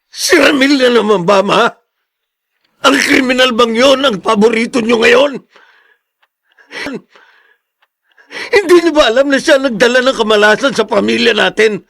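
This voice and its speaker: High-voiced, bitter grown son. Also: male voice, normal speed, vocal fry, fairly bright tone, exaggerated voice. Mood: bitter, outraged. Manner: emphatic, accusing. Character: bitter, arrogant grown son, defeated election candidate. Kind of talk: radio drama acting.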